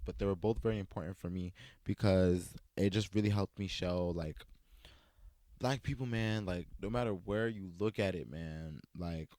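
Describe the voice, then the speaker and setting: A young man from the States telling personal stories in a casual sit-down chat, animated and playful, quoting himself in a higher voice. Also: no room sound. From United States. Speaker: vlogger.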